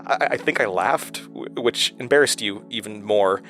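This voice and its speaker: Casting: male actor for hesitant, haunted investigator, horror audio drama. Lower register, earnest and understated, faint music bed. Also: fairly bright tone, lightly acted. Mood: wistful, halting. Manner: halting, stammering in dialogue, low-key and earnest. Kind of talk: intimate audio drama acting and narration.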